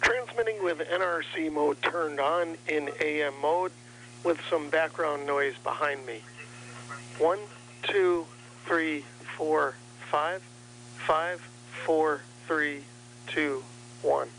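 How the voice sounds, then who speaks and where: Slow, measured American man over noisy radio. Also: a little high, a bit bright. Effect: two-way radio transmission. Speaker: radio tester. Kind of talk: deliberate transmit test announcements and counting. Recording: received radio signal with hum and hiss.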